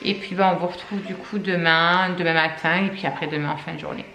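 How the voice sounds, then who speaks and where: A woman's voice: lowish voice, fairly even intonation, fairly quick, pushed and bright. A woman, a mother filming a casual family vlog at home, rambling with fillers and laughing often. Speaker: mother vlogger. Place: family home indoors, evening. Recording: echoey room with background noise.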